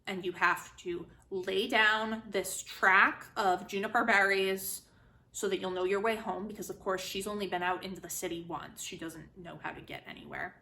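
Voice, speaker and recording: A woman giving a casual, chatty spoken book review, retelling and commenting in an animated way. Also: medium pitch, moderate pace. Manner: animated, chatty, acts out quotes informally. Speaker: book reviewer, host of a literary video series.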